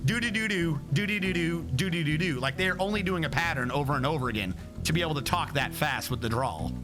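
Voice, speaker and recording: A man's voice: medium pitch, expressive, quick pace, over music. A young man streaming a game, chatting casually in a rambling way and correcting himself often. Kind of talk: casual livestream chat.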